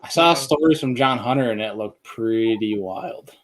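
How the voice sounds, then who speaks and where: A man's voice: on the low side, expressive, moderate pace, low-quality recording. A man hosting a fishing podcast in a casual, chatty style. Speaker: podcast host. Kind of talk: casual chat.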